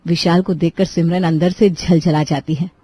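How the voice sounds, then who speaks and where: A woman storyteller reading scripted narration for an animated family story. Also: fairly low pitch, normal speed, slightly rough, dry recording.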